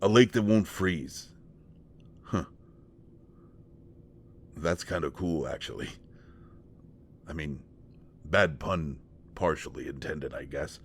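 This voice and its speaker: A man playing a gentle, awkward, devoted companion in an intimate one-sided roleplay audio drama, speaking in a halting, stammering way. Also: very deep voice, vocal fry, slight background noise, heavily acted. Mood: sheepish, wry.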